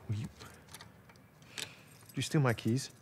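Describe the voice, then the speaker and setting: An actor playing a guarded young soldier in a video game, speaking quietly and in a hushed way. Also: male voice, medium pitch, slow, a little hiss or hum, lightly acted. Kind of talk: video game cutscene acting.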